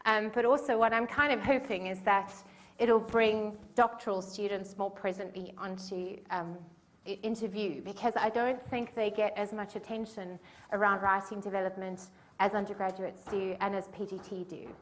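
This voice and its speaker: A South African woman in her forties speaks at a conference in a mid-pitched voice. She talks in a chatty, self-deprecating way, filling her pauses with ums. Her delivery is relaxed and conversational. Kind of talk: conference talk. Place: conference room.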